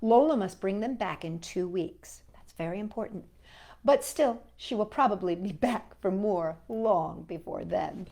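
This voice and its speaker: A woman's voice: mid-range voice, a little slow, gravelly, a little mellow. A woman hosting a children's storytime, reading a picture book expressively and chatting to young listeners in asides.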